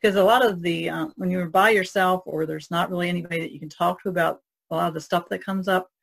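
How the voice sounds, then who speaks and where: A woman's voice: fairly low pitch, fairly even intonation, fast talker, vocal fry. A woman co-hosting a podcast, talking in a casual, reflective way. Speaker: podcast co-host.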